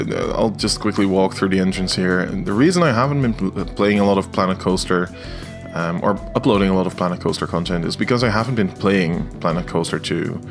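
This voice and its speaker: A young Dutch man with a Dutch accent, talking casually over his gameplay video, hesitant and full of filler sounds. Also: low-pitched. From Netherlands. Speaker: video game creator. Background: faint guitar music.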